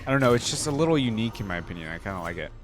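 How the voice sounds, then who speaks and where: A man's voice: fairly low pitch, lively intonation, very fast, faint music behind. A young man hosting a casual reaction video with laid-back, chatty, appreciative commentary.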